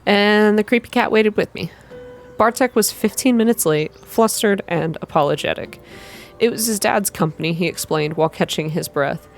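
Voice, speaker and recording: A woman reading a story aloud in an ASMR style, with expressive, deliberate first-person narration. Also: mid-range voice. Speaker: reader of a visual novel. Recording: soft music behind the voice. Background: background music.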